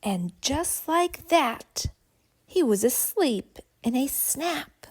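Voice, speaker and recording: A woman reading a rhyming picture book to preschoolers in an expressive, deliberate, sing-song storytelling way. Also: high-pitched, heavy background noise. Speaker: children's story reader and channel host.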